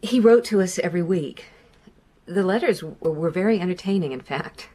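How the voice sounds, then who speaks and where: A grieving, middle-aged American mother in a radio drama. Her voice sits a little low. She tells her story in a reflective, measured way, pausing over her memories. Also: lively intonation, mellow. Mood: sombre, reflective.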